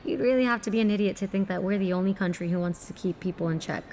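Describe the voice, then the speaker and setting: A woman's voice: mid-range voice, fast talker, darkish tone, a little hiss or hum, natural voice. A woman playing a sardonic, defiant strike team agent in a drama, dry and challenging. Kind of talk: dramatic dialogue.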